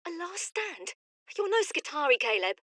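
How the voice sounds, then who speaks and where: A woman playing an anxious, sharp-tongued factory worker in a game drama, urgent and exasperated. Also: extremely high pitch, very animated, moderate pace, no echo, studio quality, exaggerated voice. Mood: fearful.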